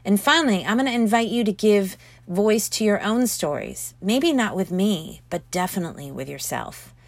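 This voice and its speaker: A woman narrating her own motivational audiobook as its author, speaking earnestly with emphatic, deliberate phrasing. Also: medium pitch, animated, no room sound. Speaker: author reading own book.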